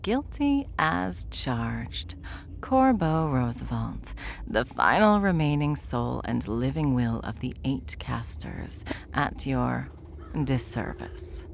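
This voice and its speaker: Woman acting ancient deathless mage, very low voice, gravelly, wry theatrical lecturer, fantasy audio roleplay. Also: animated, takes it slowly, slight background noise, heavily acted. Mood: smug, theatrical. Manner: theatrical, wry, leisurely lecturing with breathy asides.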